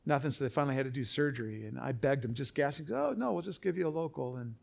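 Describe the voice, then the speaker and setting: A pastor telling a funny personal story in a sermon, animated and breathless as he acts out others. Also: medium pitch, fast, vocal fry.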